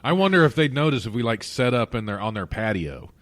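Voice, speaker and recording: A man's voice: medium pitch, sing-song, fast talker, fairly bright tone, no room sound. A man hosting a casual comedy podcast in a comedy club showroom, joking and laughing often. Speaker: host.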